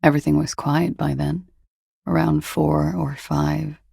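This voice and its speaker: An actress playing a cool, guarded young woman in a video game, with a husky, breathy, gravelly voice and a languid, dryly understated delivery. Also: low voice, flat delivery, measured pace, warm tone, no echo, heavily acted. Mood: cool, matter-of-fact. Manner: languid, dry, wryly understated. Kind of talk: video game character dialogue.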